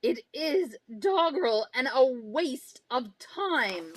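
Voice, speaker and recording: A woman's voice: high-pitched, big pitch swings, slow, a little hiss or hum, full character voice. A woman playing a cold, cutting, condescending middle-aged wife in a live radio drama reading. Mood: scornful. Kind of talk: live reading of an old-time radio script.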